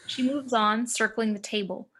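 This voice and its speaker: Bright-voiced woman narrating a screenplay. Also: a little high, fairly even intonation, moderate pace, poor audio. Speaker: narrator of action descriptions.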